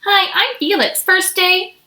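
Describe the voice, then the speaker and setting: A woman's voice: very high voice, unhurried, pushed and bright, pitched above the performer's own voice, full character voice. A woman voicing an eager, sensitive young schoolboy in a children's storybook. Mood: friendly. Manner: eager, earnest. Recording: echoey room.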